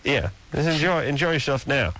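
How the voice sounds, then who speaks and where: A man's voice: a little high, expressive, fairly quick, very noisy. A young man hosting a call-in radio show, chatting casually with callers and cracking sarcastic jokes. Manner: sarcastic, joking asides.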